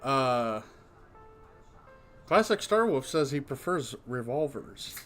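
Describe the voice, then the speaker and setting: A man's voice: medium pitch, big pitch swings, slow, fairly bright tone, soft background music. A man bantering crudely with co-hosts while reading out chat messages. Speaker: streamer. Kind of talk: casual livestream chat.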